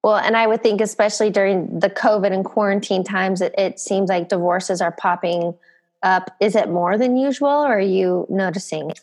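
A woman's voice: medium pitch, fairly even intonation, normal speed, darkish tone. A woman hosting a motivational podcast, reading an upbeat intro and asking her guest questions. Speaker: podcast host. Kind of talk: scripted intro, then interview questions.

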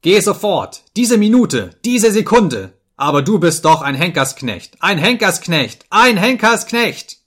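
A man voicing an overwrought, proud master who shouts furious, repetitive commands at his servant in an audiobook story. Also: high voice, sing-song, measured pace, hardly any pauses, pitched above the performer's own voice, exaggerated voice. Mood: frantic.

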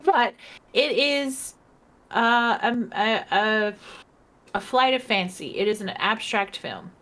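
A woman's voice: a little high, animated, slow, a bit bright. Tipsy, tired woman co-host, rambling and giggling through casual chat. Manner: rambling, giggly, lots of fillers. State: tipsy and socially drained. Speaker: podcast co-host.

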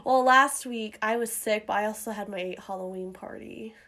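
A woman hosting a podcast in casual chat, rambling with lots of fillers, her voice a little hoarse from a cough. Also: medium pitch, moderate pace, pushed and bright. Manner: chatty, rambling with many fillers and restarts. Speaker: podcast host.

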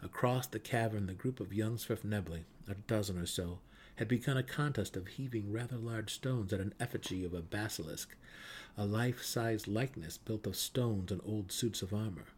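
A man's voice: on the low side, moderate pace, slight background noise. A man giving a dramatic narration of a fantasy novel as an audiobook reader. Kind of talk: dramatic reading of fantasy fiction.

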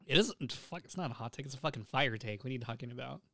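A man's voice: medium pitch, animated, quick pace, gravelly, a bit bright. A young man hosting a casual podcast, enthusiastic and opinionated, with slangy talk and frequent swearing. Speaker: podcast host.

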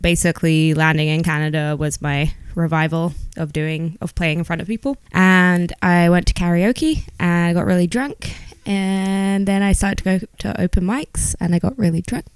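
A woman's voice: fairly low pitch, normal speed, few pauses, smooth, dry recording. A woman from England with an English accent, a guest musician telling her story candidly in a casual podcast chat.

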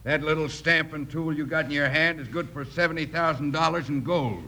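A man's voice: a little high, fairly even intonation, brisk, bright, forceful tone. A man playing a menacing, impatient outlaw gunman in a 1950s radio western, curt and clipped. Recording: vintage radio recording, faint noise.

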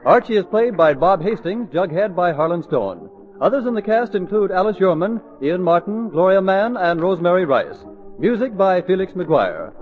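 A man's voice: sits high, sing-song, moderate pace, soft background music. A man reading a scripted sign-off as a 1940s radio announcer, polished and formal. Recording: muffled 1940s radio broadcast.

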